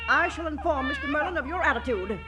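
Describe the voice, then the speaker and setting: A woman's voice: high-pitched, lively intonation, fast talker. A woman playing a stern, officious messenger in a 1940s radio comedy, formal and reproachful. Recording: very noisy old radio broadcast.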